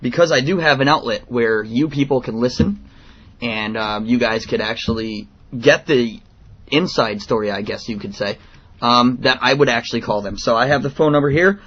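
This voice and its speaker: A man hosting a radio show, giving opinions in a halting, blunt, indignant monologue. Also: medium pitch, lo-fi sound.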